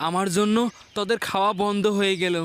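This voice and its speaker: Man doing a high-pitched, grumbling cartoon fox. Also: flat delivery, fast talker, very noisy, close to an everyday voice. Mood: irritated, scolding. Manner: complaining, colloquial, repeats phrases. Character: squabbling, put-upon male fox, cheeky and grumbling. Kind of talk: cartoon character acting.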